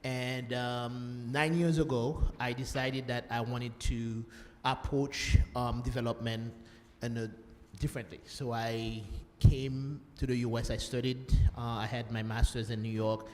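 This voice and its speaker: A man's voice: medium pitch, level tone, a little slow. A man from Haiti speaking on a conference panel, talking with many fillers and restarts. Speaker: panelist, social entrepreneur. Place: conference hall. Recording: long hall reverb, faint room noise.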